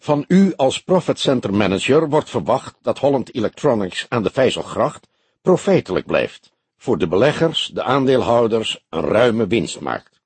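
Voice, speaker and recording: A man playing an old grey-haired police detective in an audiobook. He probes with wry, deliberate mock innocence, his husky voice rising and falling in a lively way. It is recorded dry. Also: mid-range voice, dry recording. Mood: probing. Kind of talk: Dutch audiobook character dialogue.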